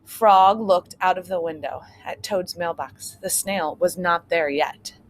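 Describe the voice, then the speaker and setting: A woman's voice: on the low side, a little slow, slight background noise, rough recording. Woman reading a children's story aloud. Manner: simple explanations, checking in with listeners. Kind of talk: read-aloud storytelling with asides to young listeners. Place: outdoors on a front porch. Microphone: computer propped on a chair.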